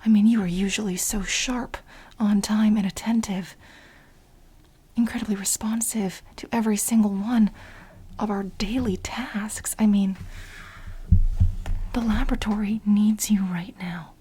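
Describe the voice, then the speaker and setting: Woman doing an asmr roleplay as a clingy fed-up office assistant, super breathy whispering, soft mellow voice, keeps insisting. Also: mid-range voice, fairly even intonation. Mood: exasperated coaxing, then urgent, exasperated. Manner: insistent, repetitive, halting for emphasis. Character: obsessive, exasperated female administrative assistant pleading with her boss. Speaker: ASMR role-play performer. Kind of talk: soft-spoken ASMR role play.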